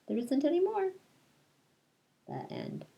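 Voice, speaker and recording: A woman's voice: sits high, wildly swooping pitch, slow, vocal fry, dark tone, lo-fi sound. A woman teacher doing story time for kindergarteners, reading aloud and explaining things simply to young children. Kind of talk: picture-book read-aloud with casual asides to children.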